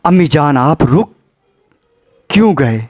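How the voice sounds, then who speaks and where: A man's voice: fairly high pitch, animated, a little mellow. Man voicing an anguished, pleading bereaved sister. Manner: anguished, repetitive, reproachful pleading. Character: grief-stricken pleading sister guarding her slain brother.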